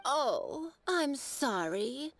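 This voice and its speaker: A woman's voice: sits high, sing-song, extremely slow, gravelly, full character voice. A woman playing a teasing, self-assured young shopkeeper in a video game, with a coy, theatrical manner. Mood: mock wounded. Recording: background music under voice.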